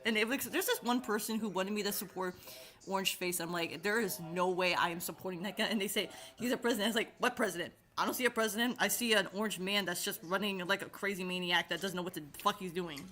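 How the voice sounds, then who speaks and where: Woman, casual call chat, giggly and rambling, salty language, mid pitch. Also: quick pace, a bit bright. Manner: giggly, rambling, swears freely. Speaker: channel host.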